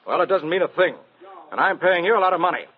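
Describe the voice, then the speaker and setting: An aggrieved theater producer, blunt and threatening, talking fast in a slightly high male voice. The old radio drama comes through on a dull recording. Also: husky. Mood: angry, pressing. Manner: blunt, forceful argument.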